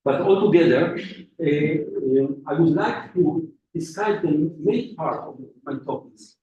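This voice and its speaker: A male guest lecturer explains physics in a halting way, often restarting his phrases. His voice is slightly high and mellow. It sounds distant and echoey, as if picked up from across a large room. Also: highs cut off, slight background noise.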